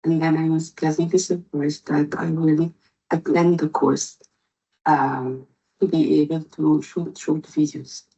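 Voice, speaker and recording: Low husky woman from Egypt, reflective. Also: flat delivery, warm tone, lo-fi sound. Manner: reflective, halting with fillers. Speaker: interpreter. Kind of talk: personal testimony video.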